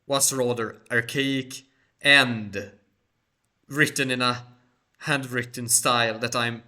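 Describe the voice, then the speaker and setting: Channel host, male, Swedish first language; formal, careful delivery, forceful bright timbre, mid pitch. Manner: measured, formal phrasing. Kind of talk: solo Q&A monologue.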